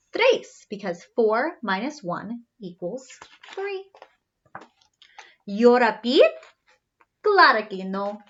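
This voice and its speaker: Animated high-voiced woman storyteller. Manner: animated, teacherly, spelling things out for young children. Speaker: read-aloud storyteller for children. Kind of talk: bilingual picture-book read-aloud with singsong refrains. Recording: slightly dull, lo-fi sound.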